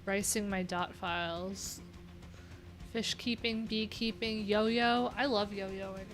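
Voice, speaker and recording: A woman's voice: medium pitch, steady tone, takes it slowly. A woman hosting a casual livestream, reading out viewers' answers and adding loose, chatty asides with lots of fillers. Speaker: livestream host. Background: pop punk music playing.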